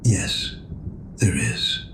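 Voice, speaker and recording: An actor playing an elderly male priest in an audio drama, calm, gentle and earnest as he hears confession. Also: drawn-out words, reverberant, noisy background. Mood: firm. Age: older.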